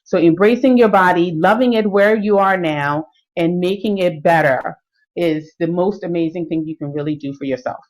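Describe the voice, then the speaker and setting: A woman's voice: fairly low pitch, moderate pace, a little mellow, low-quality recording. A middle-aged woman giving a live stream talk on fitness and nutrition, explaining at an easy pace with many fillers. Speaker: health and fitness coach.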